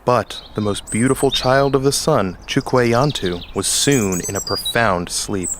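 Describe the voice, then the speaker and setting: A man's voice: mid-range voice, a little slow, noisy background. A man hosting and narrating a scripted storybook tale. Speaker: host and narrator.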